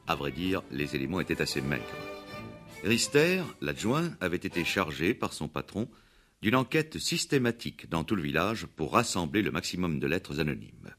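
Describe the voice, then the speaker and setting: A man narrating a scripted 1960s radio play with deliberate storytelling phrasing. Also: low-pitched, very animated, a bit bright, faint music behind.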